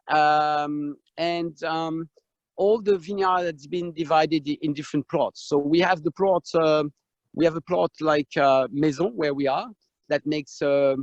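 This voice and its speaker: French-accented man, halting and dull. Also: on the high side, no echo. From France. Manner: halting, many fillers and restarts. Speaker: winemaker. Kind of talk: live video tour and tasting talk. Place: outdoors in a vineyard on a hot day. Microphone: video call. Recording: dull lo-fi video call sound.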